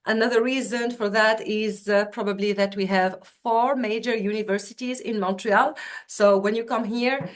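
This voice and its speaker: A woman's voice: medium pitch, fairly even intonation, moderate pace. Chatty woman presenting over video call. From Tunisia. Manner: chatty, many fillers and restarts. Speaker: university student recruitment officer. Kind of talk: webinar presentation.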